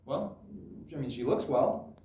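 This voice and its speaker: A man acting as a patient, dutiful grown son in a stage drama, placating and gently insistent with an ageing father. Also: mid-range voice, animated, unhurried, a little hiss or hum, close to an everyday voice. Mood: reassuring. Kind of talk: solo dramatic interpretation on stage. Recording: echoey room, distant microphone.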